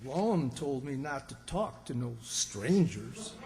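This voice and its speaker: Slow, folksy old country man played by an actor. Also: mid-range voice, very animated, vocal fry, a little mellow, exaggerated voice. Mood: flustered, literal. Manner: folksy, hesitant, drawn-out exclamations. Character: naive, literal-minded old country man, stubborn and wisecracking. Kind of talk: old-time radio comedy acting. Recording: hall reverb, distant stage sound.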